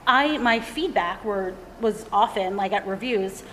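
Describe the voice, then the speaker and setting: A woman's voice: medium pitch, a little slow, a bit bright, a little hiss or hum. A woman on a podcast panel who talks in a blunt, straightforward way. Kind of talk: casual panel discussion. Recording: long reverb in a live room.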